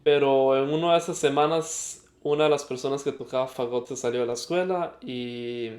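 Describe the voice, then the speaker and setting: A young man with a Costa Rican accent recounts his story as a podcast guest. He speaks at a mid pitch, reflective and halting, with many fillers and restarts. The recording sounds echoey. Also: a little mellow. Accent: Costa Rican Spanish. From Costa Rica. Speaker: guest musician. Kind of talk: interview storytelling.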